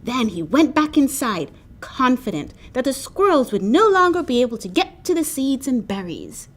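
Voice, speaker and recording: A woman reading a children's picture book aloud with expressive, animated storytelling. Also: sits high, normal speed. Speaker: storybook reader.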